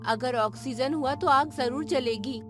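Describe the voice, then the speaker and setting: Woman acting as a bold lady scientist in an audio story, high voice, music behind. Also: unhurried. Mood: determined. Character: determined, bold woman scientist leading a Moon mission. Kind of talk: dramatized Hindi audio story dialogue.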